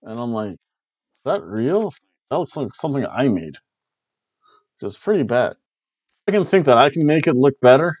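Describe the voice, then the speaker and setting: A man's voice: medium pitch, sing-song, normal speed, long pauses, a little mellow, dry recording. A man in his forties casually recapping wrestling shows from a small home room, jokey and loose with playful exclamations, on a headset mic. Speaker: wrestling review host. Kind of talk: casual review chat. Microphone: headset mic on a webcam call. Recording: dry, dull lo-fi webcam audio.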